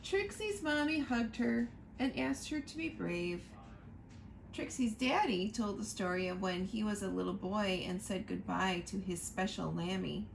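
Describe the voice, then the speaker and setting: A woman reading a picture book aloud to children, slow and expressive, pointing out the pictures as she goes. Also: mid-range voice, creaky, slight background noise, low-quality recording. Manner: slow, expressive storytime reading, asking listeners to look at pictures.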